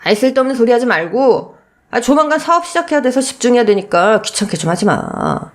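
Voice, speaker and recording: A woman's voice: fairly high pitch, wildly swooping pitch, fairly quick. A woman voicing an irritable, selfish middle-aged husband, brusque and dismissive, in a radio story. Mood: irritated, dismissive. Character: irritable, selfish deadbeat husband chasing business money.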